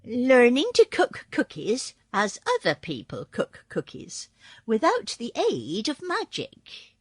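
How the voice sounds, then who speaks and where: A woman's voice: a little high, wildly swooping pitch, takes it slowly, slightly rough, no room sound, exaggerated voice. A woman voicing a kind, sensible country woman giving earnest advice in a storybook reading. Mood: advising.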